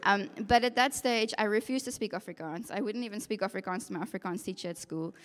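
An earnest young South African woman recounts her journey to a church audience in a reflective, storytelling way, dotting her sentences with small fillers. Her voice sits at an ordinary middle pitch. It rings out with the long reverb of a large hall. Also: quick pace, a bit bright. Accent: South African English. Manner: earnest, reflective storytelling with frequent ums and yeahs. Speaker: occupational therapist giving a testimony. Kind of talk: personal testimony to a congregation.

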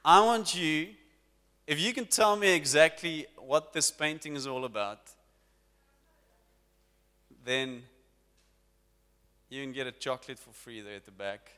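A man preaching to his congregation as pastor, lively and talking directly to the people in front of him. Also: a little high, unhurried, pauses often, clear, resonant tone. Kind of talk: sermon. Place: church hall. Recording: long church hall reverb.

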